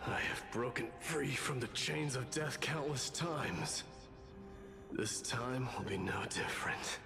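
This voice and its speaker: An actor playing a grave, stoic, resolute warrior lord in a video game, speaking formally and in measured phrases. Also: male voice, mid-range voice, animated, gravelly, music in the background. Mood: solemn, resolute. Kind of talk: dramatic video game voice acting.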